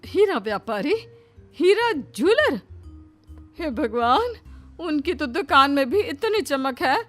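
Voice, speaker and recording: A woman's voice: high-pitched, sing-song, takes it slowly, fairly bright tone, faint music behind, heavily acted. A woman voicing a doting, humble middle-aged housemaid mother in an affectionate, homely, exclamatory cartoon-story way. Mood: amazed, worried. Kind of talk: Hindi moral-story cartoon dialogue.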